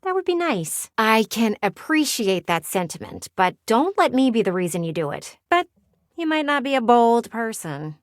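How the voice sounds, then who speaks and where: An actress voicing a playful, sardonic living window in a video game, with a teasing, theatrical and blunt delivery. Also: female voice, a little high, big pitch swings, no room sound, studio quality. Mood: playful, wry. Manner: teasing, theatrical, blunt, sighs and drawn-out words. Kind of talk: video game character voice acting.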